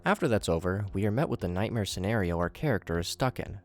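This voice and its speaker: A man with a low voice narrates a scripted recap and adds his own commentary. He slips in wry, jokey asides as he goes, and music plays clearly behind him. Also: animated, quick pace.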